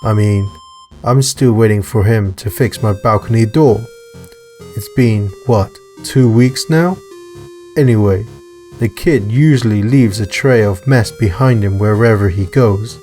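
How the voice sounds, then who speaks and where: A man's voice: fairly low pitch, measured pace, warm tone. A man reading scripted story narration for a visual novel, with music behind him. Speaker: narrator and author.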